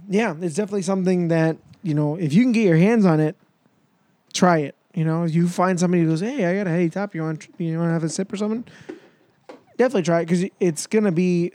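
A man's voice: a little high, warm tone, dry recording. A man in his twenties hosting a casual podcast, talking loosely and laughing easily. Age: 20s. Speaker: podcast host.

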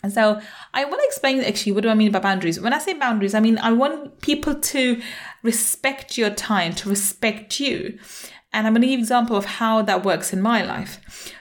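A middle-aged woman hosting a solo podcast as a coach, talking conversationally and often repeating herself. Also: a little high. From England (born in Manchester, grew up in London). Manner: conversational, repeats herself, frequent "you know". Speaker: podcast host, money mindset coach.

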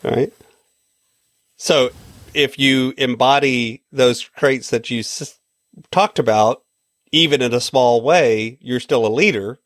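A man's voice: medium pitch, slow, long pauses, a bit bright, dry recording, clean studio sound. A man hosting a podcast interview, asking questions in an easygoing way with light laughs. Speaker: podcast host.

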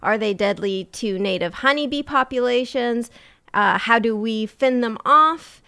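A woman's voice: fairly high pitch, a little slow, sharp tone, clean tone. A woman host explaining a science topic in casual, playful, jokey podcast chat.

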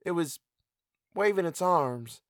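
A man's voice: fairly high pitch, lively intonation, slow pace, fairly bright tone, no echo, studio quality, pitched above the performer's own voice, exaggerated voice. A man voicing a sullen young farm boy who speaks in guarded, rustic dialect.

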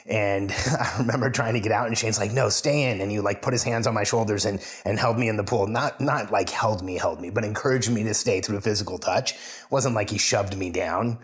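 A man's voice: lowish voice, a little fast. A man hosting a solo podcast, telling a personal story in an earnest, confessional way with frequent restarts. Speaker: podcast host.

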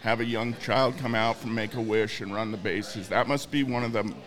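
A man's voice: fairly low pitch, fairly even intonation, normal speed, a bit bright. A man working as an interviewer, talking in a casual, conversational style.

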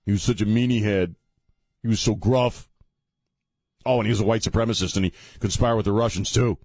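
Deep-voiced rambling male radio host. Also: fairly even intonation, a little fast, darkish tone, no room sound. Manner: rambling, sardonic, restarts often. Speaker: radio talk show host. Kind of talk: casual talk radio monologue. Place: radio studio. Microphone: studio microphone.

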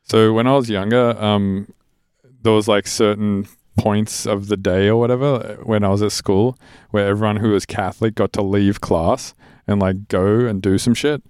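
American man, lowish clear voice, casual chat, blunt, informal, dry close sound. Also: fairly even intonation, moderate pace, a little mellow, no room sound.

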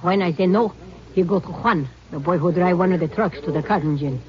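A woman's voice: on the low side, brisk, natural voice. An actress playing a worried older Mexican mother who picks cotton, speaking broken English with a Mexican Spanish accent, out of breath, in 1950s radio acting. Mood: anxious. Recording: dull old radio broadcast.

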